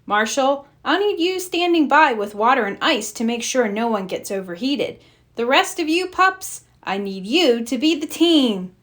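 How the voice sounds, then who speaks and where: Storybook boy hero, female performer: high, animated, confident leader who rallies his team kindly. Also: measured pace. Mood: decisive. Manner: rallying, encouraging.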